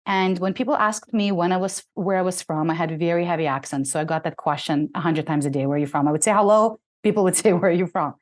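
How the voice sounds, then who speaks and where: A woman's voice: fairly low pitch, quick pace, few pauses. A woman from Ukraine, a guest on a podcast, telling a story with feeling. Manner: animated, emotional, laughs while telling. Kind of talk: interview storytelling.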